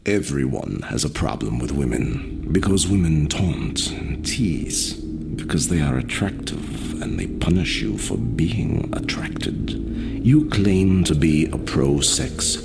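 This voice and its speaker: An actor playing a menacing, taunting male villain in an animated drama, with a gravelly voice and a sneering, accusing delivery. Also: extremely low pitch, slow pace, heavy background noise, heavily acted. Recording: loud music under the voice.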